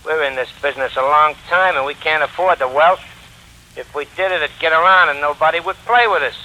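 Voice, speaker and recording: Menacing gangster: hard bright edge, higher pitch, clipped orders, 1940s radio crime drama. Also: male voice, a little fast, rough recording. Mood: curt, sly. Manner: clipped, commanding, slangy. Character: curt, menacing gangster giving ransom orders.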